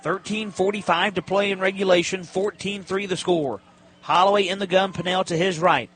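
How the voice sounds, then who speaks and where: A man's voice: sits high, steady tone, moderate pace, a bit bright. A man working as a radio announcer, giving live football play-by-play in clipped, descriptive phrases. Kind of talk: live sports play-by-play commentary. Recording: live broadcast with stadium background noise. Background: stadium ambience, faint noise.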